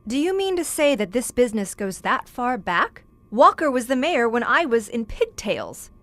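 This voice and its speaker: A woman's voice: a little high, sing-song, normal speed, no room sound. An actress playing a curious, polite young woman writer, speaking with careful enunciation in an old-time radio horror drama. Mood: puzzled, probing.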